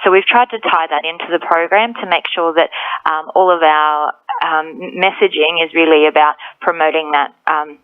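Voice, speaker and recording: A woman's voice: low voice, steady tone, moderate pace, slight background noise. A woman, a researcher, explaining her work in an interview over a narrow telephone line. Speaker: research investigator.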